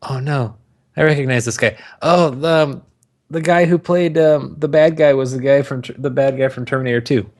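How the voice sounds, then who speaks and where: A man's voice: mid-range voice, normal speed. A man co-hosting a podcast, bantering casually about movies with plenty of swearing and sarcastic wisecracks. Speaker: podcast co-host.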